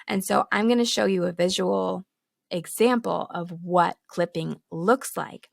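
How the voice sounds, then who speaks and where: A hoarse woman with a heavy, gravelly creak runs through each sentence in a dry, close sound. She teaches in a candid, casual way and laughs between her points, as a podcast host recording at home. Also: on the low side, a little slow, no room sound. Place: home with children nearby. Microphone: studio microphone, close.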